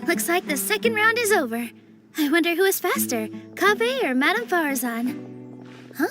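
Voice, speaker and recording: Game contest announcer, upbeat, very high and lively. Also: female voice, normal speed. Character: cheerful female contest announcer. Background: game music.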